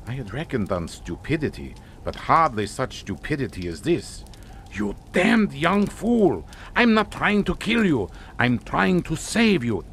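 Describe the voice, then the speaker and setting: An actor playing a menacing, scornful older Hungarian count in a radio suspense drama, speaking in a formal, taunting, theatrical manner. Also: male voice, mid-range voice, big pitch swings, unhurried, a bit bright, a little hiss or hum. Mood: urgent.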